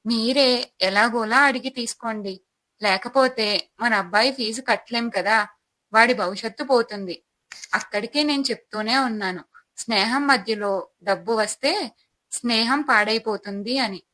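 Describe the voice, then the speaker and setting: A woman's voice: on the high side, a little slow, bright, forceful tone, rough recording. A woman playing a worried, reproachful wife and mother in a moral story, urging and chiding.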